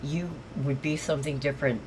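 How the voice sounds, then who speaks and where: A woman with a low, hoarse voice from a cold, talking casually and telling lively stories on a live stream. A faint hum of room noise sits behind her. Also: brisk. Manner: chatty, animated storyteller. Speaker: streamer and server owner.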